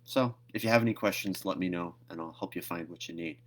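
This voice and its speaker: A man's voice: low-pitched, quick pace, a bit bright, a little hiss or hum. A man in his thirties hosting a read-aloud, chatting casually and narrating a storybook. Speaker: host of a read-aloud series.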